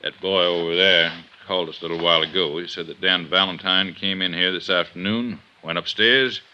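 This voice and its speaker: An actor playing a blunt, fed-up city police inspector in a 1950s radio drama, brusque and businesslike. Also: male voice, sits low, steady tone, a little fast, natural voice. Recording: muffled 1950s radio recording.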